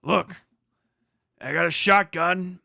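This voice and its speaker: Man acting a loud, menacing Western outlaw. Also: high-pitched, slow pace, gravelly, dry recording, clean studio sound, voice pushed up, exaggerated voice. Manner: threatening, commanding. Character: defiant aging Western outlaw with a shotgun, menacing.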